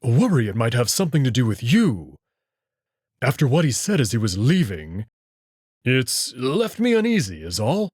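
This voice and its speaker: Give the part this: a man acting a proud beast prince from a sci-fi visual novel, protective and sure of himself. His pitch swings very widely while his phrasing stays measured and formal. The sound is close and dry. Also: sing-song, a little mellow, slightly rough, dry recording. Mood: protective, serious. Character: Amicus, proud protective furry alien prince, an older brother.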